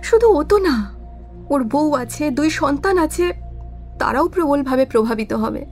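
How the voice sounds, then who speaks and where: A woman's voice: high-pitched, expressive, a little slow, darkish tone, faint music behind. A woman playing an anxious, guilt-ridden witness in an audio drama, pleading and defensive with feeling.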